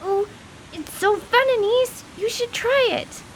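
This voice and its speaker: A woman's voice: extremely high pitch, slow pace, slight background noise, poor audio. A woman voicing an eager, exclamatory young girl adventurer in a tabletop radio play. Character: excitable, daring, food-loving young girl adventurer.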